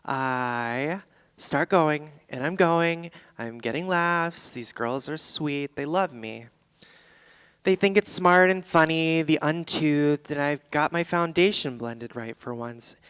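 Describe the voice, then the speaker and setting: A woman's voice: low voice, slow, fairly bright tone, clean tone. A woman playing a brash, candid woman telling her life story in a theatrical stage monologue, in short punchy phrases. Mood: wry, boastful. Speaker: stage actor in a staged reading. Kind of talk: theatrical monologue before an audience. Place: theatre stage, live performance.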